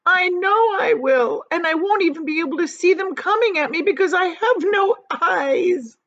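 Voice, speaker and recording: A woman's voice: extremely high pitch, animated, normal speed, a bit bright, lo-fi sound, voice pushed up, heavily acted. A woman voicing a timid, doom-wailing earthworm from a storybook, fretful and drawn out. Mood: wailing despair.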